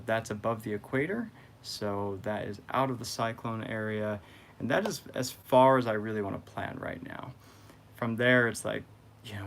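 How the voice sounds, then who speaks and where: A man's voice: medium pitch, slight background noise. A man hosting a sailing vlog update, thinking aloud about plans in a casual, musing way.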